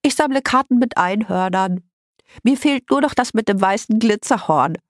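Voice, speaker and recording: A woman's voice: mid-range voice, normal speed, no room sound, studio quality, pitched above the performer's own voice, lightly acted. A woman narrator voicing a prissy, girly young girl with a stuffy, nasal voice in a children's story. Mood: proud, pleased. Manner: squealing, affected.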